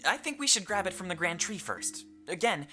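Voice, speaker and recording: A woman voicing an earnest, protective and level-headed companion in a fantasy visual novel, speaking with sincere, measured reasoning. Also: lowish voice, very animated, music in the background. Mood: level-headed, firm.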